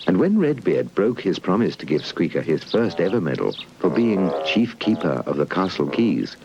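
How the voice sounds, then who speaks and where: British guy telling a kids story, low voice, super expressive, music behind him. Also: normal speed. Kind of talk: scripted children's story narration. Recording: muffled old VHS tape, music underneath.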